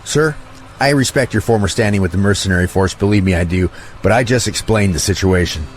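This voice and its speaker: An actor playing a polite but unyielding soldier at a checkpoint in an audio drama. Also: male voice, on the low side, a little fast, slight background noise, lightly acted. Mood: curt, official.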